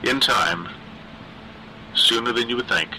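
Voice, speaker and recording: Male horror narrator, mid pitch, bright tone, measured and wry. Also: slight background noise, low-quality recording. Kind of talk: scripted story narration.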